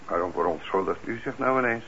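An actor playing a firm, fair-minded police officer in a radio drama, speaking in a direct, questioning way. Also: male voice, sits low, fast, a bit bright. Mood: probing, accusing. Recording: dull, noisy old radio recording.

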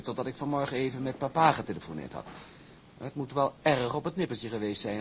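Smug shipping heir, played by a male actor: genteel menace, low and animated, audio drama with faint noise. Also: lightly acted. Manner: mocking courtesy, genteel, unctuous. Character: smug, silkily polite villainous shipping magnate's son. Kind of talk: Dutch audio drama acting.

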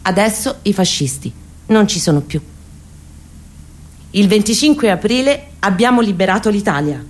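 A woman with a Roman accent performing a dramatic personal monologue, expressive and intimate, with deliberate phrasing. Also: lowish voice. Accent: central Italian, Roman. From near Rome, Italy. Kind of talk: dramatic autobiographical storytelling monologue.

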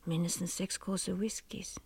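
A woman's voice: fairly low pitch, steady tone, unhurried, vocal fry, a little mellow, breathy edge, dry recording, exaggerated voice. An actress playing a determined, inquisitive woman sleuth in a radio crime drama, speaking formally and probingly. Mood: pensive, tense. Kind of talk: radio crime drama, dialogue and first-person narration.

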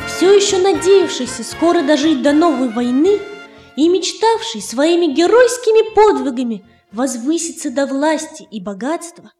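A woman narrating a fairy tale for children in a measured, expressive storytelling manner. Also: high voice. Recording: roomy echo, faint music underneath. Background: faint music.

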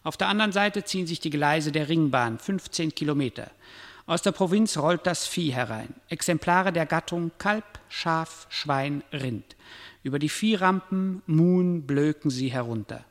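A reverberant, stern voice of fate. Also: male voice, on the high side, expressive, moderate pace. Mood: coldly factual. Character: stern, detached omniscient male voice addressing the hero. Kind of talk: solemn radio drama narration. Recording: long echoing hall reverb.

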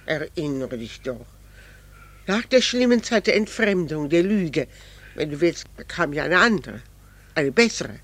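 A woman's voice: low-pitched, big pitch swings, unhurried, no echo, exaggerated voice. A woman playing a wounded, pleading wife in a 1960s German radio play, with earnest, formal theatrical diction. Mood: wistful, reproachful.

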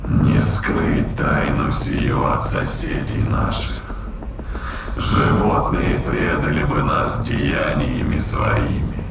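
Ancient, deep, echoing spirit beast. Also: male voice, very animated, takes it slowly, gravelly, very noisy, exaggerated voice. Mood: solemn, grave. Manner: archaic, solemn, contemptuous phrasing. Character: ancient spirit inhabiting a dead ape, haughty and menacing. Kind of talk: audio drama acting. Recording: echoing, distant voice under loud music.

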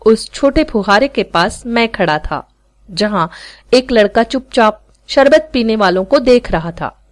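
Female story narrator for podcast fiction. Slightly high voice, animated intonation, scripted literary read. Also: measured pace.

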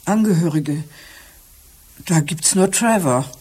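An actress playing a shaken, upset housekeeper who speaks haltingly in a German radio crime drama. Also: female voice, on the low side, mellow, husky. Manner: halting, upset, repeats herself. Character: distraught, shaken housekeeper who found her employer dead.

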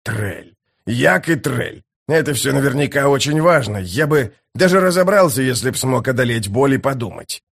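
A man voicing a gruff, sardonic wounded sapper in an audiobook, hoarse and strained with pain. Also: mid-range voice, lively intonation, normal speed. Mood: pained muttering. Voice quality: hoarse, raspy. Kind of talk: audiobook character dialogue.

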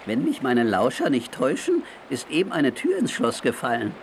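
A man's voice: medium pitch, wildly swooping pitch, moderate pace, noisy background. A man playing a feisty, boastful cartoon sailor in a comic children's radio play, exclaiming and thinking aloud to himself. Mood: determined.

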